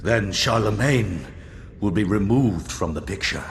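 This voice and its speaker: Game villain: reverent schemer, formal, very varied intonation, echoing noisy chamber. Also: male voice, lowish voice, lively intonation, unhurried, gravelly. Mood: concerned plotting. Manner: formal, obsequious, archaic. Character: scheming male servant of dark gods, reverent, calculating. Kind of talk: scripted video game villain acting.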